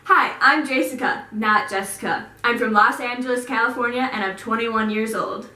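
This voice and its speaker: A woman's voice: on the high side, moderate pace, bright, forceful tone. A woman playing a carefree young contestant introducing herself on an audition tape. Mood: breezy. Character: airy young woman still finding herself. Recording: roomy and distant from the mic.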